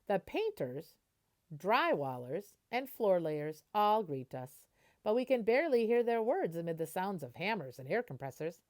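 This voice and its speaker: A woman reading a children's picture book aloud in an animated way, stopping to explain and translate as she goes. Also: medium pitch, moderate pace.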